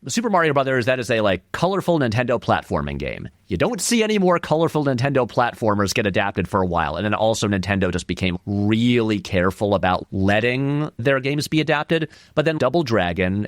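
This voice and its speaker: A man's voice: on the low side, no echo, clean studio sound. A man giving casual, conversational film commentary as an interview guest, thinking aloud in a rambling way and leaning on key words. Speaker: interview guest, filmmaker and video essayist.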